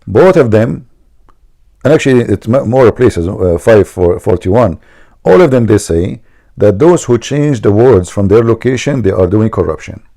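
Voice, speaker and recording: Gravelly man. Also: lowish voice, warm tone. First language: Arabic. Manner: mocking, emphatic, repeats himself. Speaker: debate show host. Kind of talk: argumentative religious debate.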